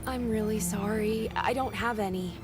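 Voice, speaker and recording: A woman's voice: medium pitch, steady tone, normal speed, close to an everyday voice. A woman voicing a sarcastic, edgy rookie rescuer in a game, heard off-mic over music. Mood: wary. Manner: sarcastic, easily alarmed.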